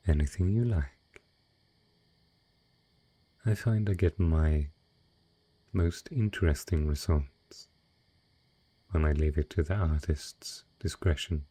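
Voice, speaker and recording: A very deep, dark male voice, breathy and close, a man acting out an ancient dragon disguised as a rich patron. He draws out each formal phrase slowly and seductively, possessive yet courtly, in an intimate romantic audio drama. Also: no echo, heavily acted. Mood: businesslike, indulgent. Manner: slow, deliberate, formal, velvety seductive phrasing.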